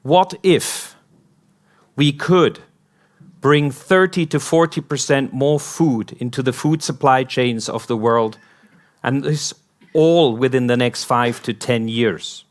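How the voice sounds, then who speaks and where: A man with an American accent, born in Hong Kong, giving a conference talk as a company founder, building up a string of rhetorical questions. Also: medium pitch. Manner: slow, rhetorical, repeating "what if" questions. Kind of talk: conference speech.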